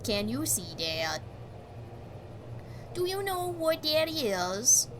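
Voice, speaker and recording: A woman acts out a sinister doctor reading a horror bedtime story. The delivery is slow and hushed, taunting the young listener with quiet, controlling menace. Faint noise sits behind the voice. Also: sits high, very animated, voice pushed up, heavily acted.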